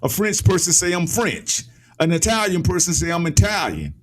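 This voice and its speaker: A man's voice: fairly high pitch, big pitch swings, moderate pace, a bit bright. An American man giving an opinionated political commentary, speaking emphatically and repeating phrases for effect. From United States.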